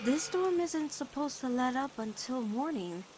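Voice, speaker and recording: A woman's voice: high voice, lively intonation, unhurried, a little mellow, a little hiss or hum, rough recording. A woman voicing a caring, protective teenage girl in a cartoon fan dub, earnest and emphatic as she pleads with her girlfriend. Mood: gentle worry. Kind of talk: animated-series fan dub drama acting.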